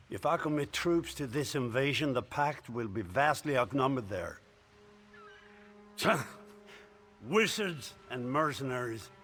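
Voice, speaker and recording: A gruff, hot-tempered barbarian king. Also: a bit bright. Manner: gruff, contemptuous, booming boasts. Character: hot-tempered, blunt, proud warrior king of northern barbarians. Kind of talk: fantasy game dialogue acting.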